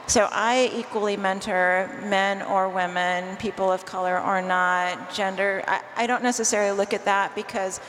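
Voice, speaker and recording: A woman's voice: medium pitch, fairly even intonation, normal speed, hardly any pauses, fairly bright tone, a little hiss or hum. A woman on a conference panel, speaking thoughtfully with many ums and uhs into a handheld mic. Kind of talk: panel discussion. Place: large conference hall stage. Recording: hall reverb, faint ambience.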